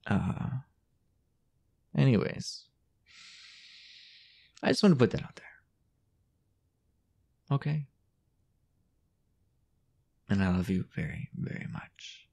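A young man playing a possessive, jealous, dominant boyfriend in an intimate audio roleplay, halting and teasing, often dropping toward a whisper. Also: on the low side, big pitch swings, mellow, heavily acted. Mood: jealous, defensive. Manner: halting, teasing, breathy half-whispered asides.

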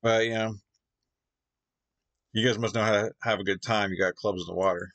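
Low-voiced man from Canada, reaction host, rambling, lots of fillers, casual chat at a desk mic at home. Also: steady tone, a little fast, fairly bright tone. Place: home gaming room.

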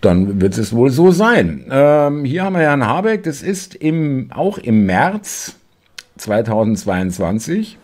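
A man in his fifties hosting casual political commentary from a home desk, halting with many filler sounds and sarcastic asides. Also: mid-range voice, very animated, a little mellow.

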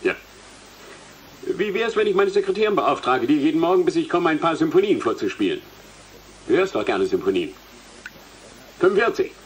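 A man's voice: on the high side, very animated, moderate pace, long pauses, a little hiss or hum, low-quality recording. An actor playing an arrogant, spiteful male university professor in a radio play, with a haughty, condescending manner. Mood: condescending, smug. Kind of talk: German radio play acting.

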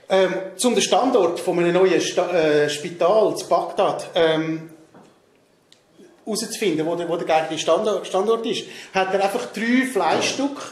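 Swiss German man reading notes. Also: high-pitched. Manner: reads from notes with frequent um and restarts on names. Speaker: presenter. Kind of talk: reading a prepared talk at a discussion evening. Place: meeting room in a large hall, seated at a table. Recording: roomy hall with faint noise.

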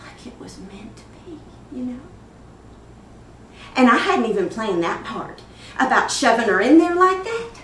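A woman playing a disturbed, wistful, eerily childlike mother in a dramatic film monologue, halting and drifting between murmurs and sudden emphasis. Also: on the high side, animated, warm tone. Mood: chilling, hushed. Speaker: actor performing a film monologue. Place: echoey indoor studio room. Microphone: distant microphone. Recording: echoey room, distant mic, clear noise.

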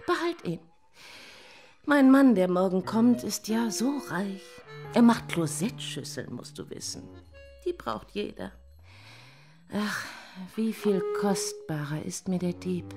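An actress playing a wealthy, amorous married lady in a radio play, breathy, rapturous and affected, with touches of French. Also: mid-range voice, expressive, slow pace, darkish tone, soft background music. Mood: adoring.

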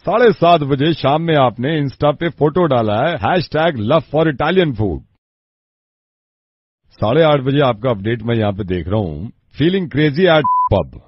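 A radio prankster playing a stern, impatient police officer who interrogates people over the phone in a bossy way. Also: male voice, mid-range voice, lively intonation, slow pace, dry recording, dull audio. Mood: probing.

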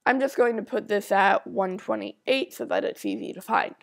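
A woman's voice: medium pitch, vocal fry. A woman with a stuffy nose talking through a coding screencast, reading out code as she types it and thinking aloud. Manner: talks slowly while typing code, thinking aloud. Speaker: tutorial maker.

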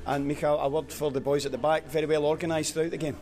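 Fast-talking male sports interviewer. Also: on the high side, level tone, a little mellow. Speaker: club TV interviewer. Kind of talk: post-match interviewing.

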